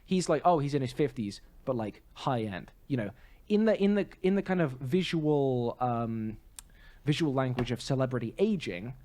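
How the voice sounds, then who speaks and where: A man's voice: mid-range voice, animated, normal speed, darkish tone. Rambling man in casual podcast chat. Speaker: podcast co-host. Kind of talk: casual film review chat.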